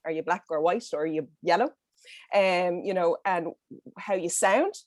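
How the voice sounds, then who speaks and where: A woman's voice: lowish voice, moderate pace, no echo, studio quality. A woman with a Dublin accent speaking earnestly on an online panel over a video call, with frequent fillers and restarts. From Ireland.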